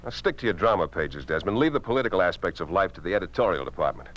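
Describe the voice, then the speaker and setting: A man's voice: sits low, lively intonation, quick pace, a bit bright, dull audio. A man acting a confident, ambitious newspaper publisher and affable boss in a 1950s radio drama. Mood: firm, insistent. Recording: noisy 1950s radio recording.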